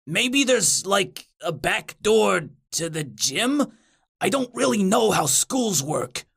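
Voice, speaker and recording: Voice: mid-range voice, big pitch swings, slow pace, creaky, a bit bright, husky, heavily acted. A young male demon in a comic storybook, acted out by a narrator, cowardly but kind. He talks in a hesitant, slangy ramble, full of self-doubt. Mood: unsure.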